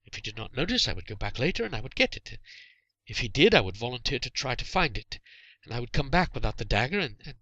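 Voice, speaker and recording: A man voicing a frightened, guilt-ridden medieval boy in a storybook reading, stammering and pleading in a halting way. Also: mid-range voice, big pitch swings, fairly bright tone, dry recording, highs cut off, voice pushed up. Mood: remorseful.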